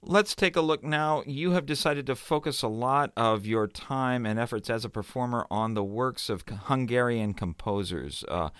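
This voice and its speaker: A man's voice: medium pitch, normal speed, few pauses, a bit bright, smooth, no echo, clean studio sound. A man working as an interviewer, putting questions to a guest.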